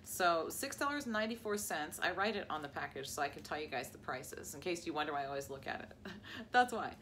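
A woman in her forties with an American accent talks to a camera held close in her kitchen, chatty and quick to laugh. Her voice sits on the low side for a woman. A faint hum of the room sits behind her. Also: lively intonation, a bit bright. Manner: chatty, laughs often, lists prices as she goes. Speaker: lifestyle vlogger. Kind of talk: casual grocery haul vlog. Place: home kitchen, indoors. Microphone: camera at arm's length.

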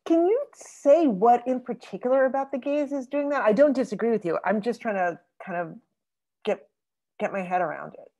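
A woman moderating an online group discussion over a video call, speaking thoughtfully with many pauses and fillers. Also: fairly high pitch, mellow, lo-fi sound. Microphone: computer mic on a video call.

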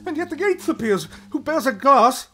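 A man's voice: very high voice, very animated, moderate pace, sharp tone, a little hiss or hum, heavily acted. An actor playing a haunted, ruthless warrior king in a declamatory Shakespearean radio drama. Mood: horrified, frantic. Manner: declamatory, volatile.

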